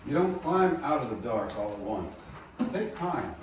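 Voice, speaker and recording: A man reading the part of a broken, grieving musician in a film table read, softly and without hurry. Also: mid-range voice, big pitch swings, normal speed, natural voice. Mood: weary, reflective. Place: echoey room at a group table read. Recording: roomy echo, distant mic, noisy room.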